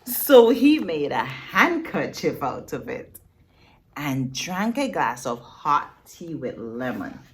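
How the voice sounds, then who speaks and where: A woman reading a picture book aloud to young children, expressive and teacherly, pausing for emphasis and asking her listeners questions. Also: deep voice.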